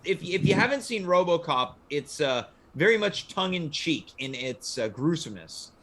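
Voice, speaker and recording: A man's voice: a little high, sing-song, moderate pace, fairly bright tone. A man hosting a casual livestream chat show, steering the conversation. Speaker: show host.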